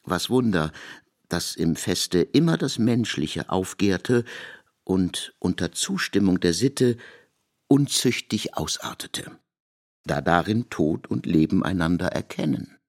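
Radio drama: priestly, solemn mythic narrator, low-pitched, rough-grained, incantatory literary delivery. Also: male voice, sing-song, slow, a little mellow, full character voice. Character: solemn male narrator intoning myth like a priest.

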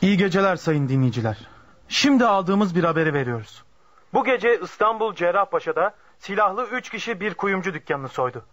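An actor playing a formal radio newsreader delivering a brisk bulletin in a radio drama. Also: male voice, high-pitched, sing-song, fairly bright tone, full character voice. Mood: formal, urgent. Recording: narrow, dull radio bulletin sound.

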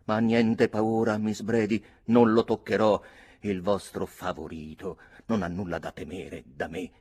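A man's voice: fairly low pitch, level tone, measured pace, a little mellow, breathy edge. A man voicing a big, haughty English army captain in a story, sarcastic and ceremonious. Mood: disdainful.

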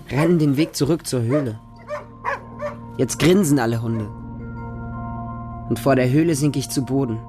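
Street kid narrator played by a man, mid-pitched, intimate and plain-spoken, short sentences, radio drama monologue with prominent music bed. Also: close to an everyday voice. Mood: relieved. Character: homeless Moscow street child who befriends stray dogs.

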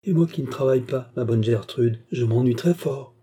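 A gentle young gentlewoman from a storybook, read by a man, caressing and coaxing in manner. The voice is very mellow and slightly husky, affectionate but quietly determined. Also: medium pitch, normal speed, lightly acted. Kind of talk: audiobook character dialogue.

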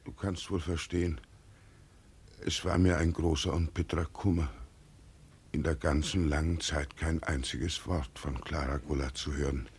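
An actor playing a grief-stricken old peasant father in a German radio play, speaking slowly, husky and wistful. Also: very deep voice, steady tone, a little mellow, heavily acted. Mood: brooding, wistful. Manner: slow, brooding, wistful.

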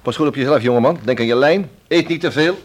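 An actor playing a calm, probing police inspector in a radio play, speaking in a measured, matter-of-fact, drily wry way. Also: male voice, medium pitch, close to an everyday voice. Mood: dry, wry.

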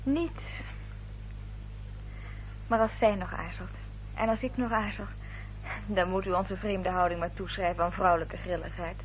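Woman acting a firm, guarded victorian lady in an old radio play, measured and proper, dull sound with faint noise. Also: fairly low pitch, steady tone, lightly acted. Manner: measured, formal, articulate. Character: resolute, guarded Victorian gentlewoman, protective of her sister. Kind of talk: Dutch radio drama acting and narration.

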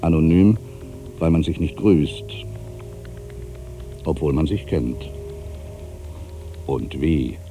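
A man's voice: extremely low pitch, slow, long pauses, mellow, soft background music, heavily acted. A man playing an ageing, solitary writer, proud and wry, brooding through a literary dramatic monologue. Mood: musing, wistful. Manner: brooding, self-questioning, swinging from mutters to outbursts.

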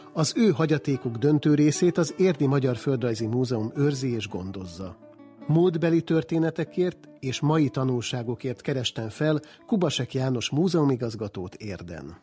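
A man's voice: mid-range voice, mellow. A man hosting a radio programme, presenting scripted narration and interviewing guests.

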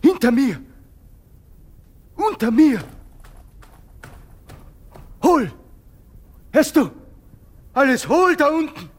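An actor playing a poor, humble soldier in a radio drama, haunted and fearful, with halting, plain folk speech. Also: male voice, very high-pitched, sing-song, heavily acted. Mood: haunted, agitated.

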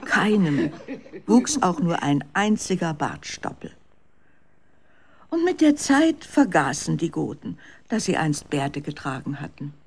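Woman storyteller, children's radio drama. Dramatic, swooping intonation, slightly raspy voice. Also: sits low, very animated, a little slow. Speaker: narrator. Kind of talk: expressive scripted children's story narration.

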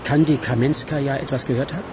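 Cold criminal ringleader male actor, mid-pitched and contemptuous, radio crime drama with noisy outdoor ambience. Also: steady tone, fast talker, natural voice. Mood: cold assessing. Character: scornful male crime boss nicknamed Doctor.

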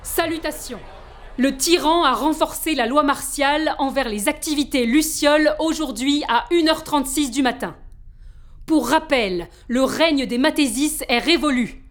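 A woman playing a stern, authoritarian factory director who gives formal, commanding announcements in an audio drama. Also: high voice. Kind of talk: official public announcement.

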